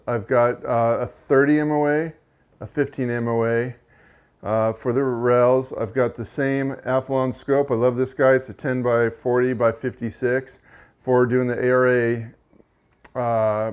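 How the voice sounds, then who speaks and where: A man with a monotone, mid-pitched voice talks through gear in a relaxed, casual review. He pauses on fillers and corrects himself as he goes, and keeps an even delivery. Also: clear, resonant tone. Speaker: rifle reviewer and channel host.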